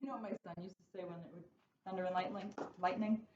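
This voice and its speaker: A woman's voice: fairly low pitch, steady tone, fast. A middle-aged woman chatting casually and jokily as she co-hosts a storytime. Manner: jokey, giggly. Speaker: police officer co-hosting a storytime. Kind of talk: casual chat. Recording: echoey room, off-mic, dull, faint noise.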